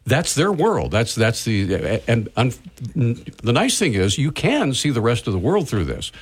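A man's voice: mid-range voice, sing-song, unhurried, slightly rough. A man hosting a radio interview, stammering and repeating words as he asks questions. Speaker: radio host. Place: radio studio.

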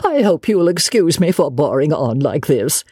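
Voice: medium pitch, big pitch swings, moderate pace, a little mellow, breathy edge, dry recording, studio quality, voice pushed up. A reader voicing a middle-aged lady novelist, effusive, pompous and emphatic, in an audiobook story. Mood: gleefully boastful.

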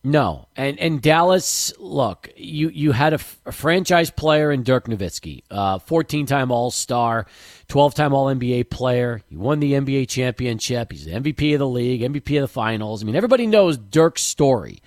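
A man's voice: medium pitch, lively intonation, normal speed, no room sound, studio quality. A man hosting a sports talk radio show, eagerly setting up long questions for his guest.

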